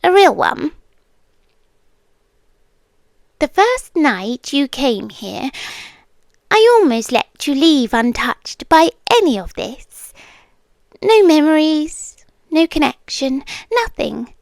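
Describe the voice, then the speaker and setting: A woman playing an ancient, possessive female monster in an ASMR roleplay, with a British accent and a hushed, teasing delivery that often drops into whispers. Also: sits high, big pitch swings, slow pace, creaky, no room sound, full character voice. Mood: wistful, confiding. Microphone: close microphone.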